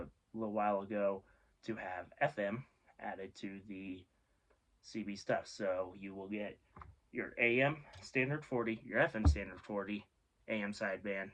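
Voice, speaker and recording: A man's voice: fairly low pitch, fairly bright tone. A man giving a casual, informal explainer as a video host, rambling and offhand, often correcting himself. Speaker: host of a radio hobby video series.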